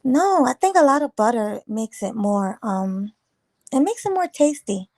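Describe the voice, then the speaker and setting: A woman chats loosely on a morning livestream, greeting viewers and riffing on their comments. Her voice is a touch raspy from the early hour, and it sits close and dry with no room around it. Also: on the high side, big pitch swings, a little slow, a little mellow, dry recording. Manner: chatty, rambling, teasing viewers. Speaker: livestream host. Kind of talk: casual live chat reading comments.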